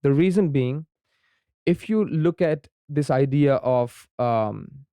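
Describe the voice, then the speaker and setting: Pakistani man explaining, mellow smooth voice. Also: medium pitch, lively intonation, slow pace, no echo. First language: Urdu. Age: young adult. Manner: frequent ums and repeated "right?" tags. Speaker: podcast guest. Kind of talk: conversational explanation.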